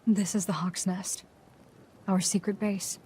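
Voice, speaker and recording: A woman's voice: mid-range voice, little pitch movement, unhurried, a little mellow, a little hiss or hum, lightly acted. An actress playing a down-to-earth, caring mercenary woman in a video game, casual and matter-of-fact. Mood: kindly, matter-of-fact. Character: down-to-earth mercenary woman, gently looking after newcomer. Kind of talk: video game cutscene acting. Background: faint ambience.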